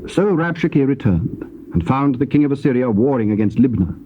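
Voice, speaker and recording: Guy narrating bible story, formal and measured, mid pitch, muffled noisy old cassette. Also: expressive. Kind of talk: scripted narration of scripture.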